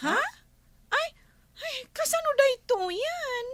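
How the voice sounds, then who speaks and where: A squeaky, comic clinic woman for an Ilocano radio comedy. She swoops about at an extremely high pitch, bright and questioning. The accent is Ilocano. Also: animated, slow, exaggerated voice.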